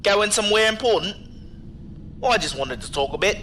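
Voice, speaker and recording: A man's voice: sits high, animated, moderate pace, pushed and bright. A man playing a cautious, chummy security contractor in an audio drama, talking colloquially with familiar deference. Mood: casual, sly. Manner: colloquial, deferential but familiar, calling people sir and mate.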